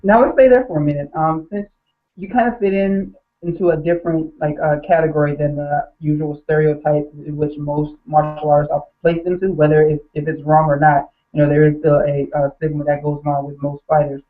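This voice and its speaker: A man interviewing an athlete, polite and a little halting, with many fillers. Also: on the high side, little pitch movement. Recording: tinny phone line.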